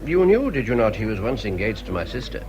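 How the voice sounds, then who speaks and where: An actor playing a kindly, bluff English naval captain in a period radio drama, sincere and a little hesitant. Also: male voice, lowish voice, big pitch swings, fast talker, over music, low-quality recording. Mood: wistful.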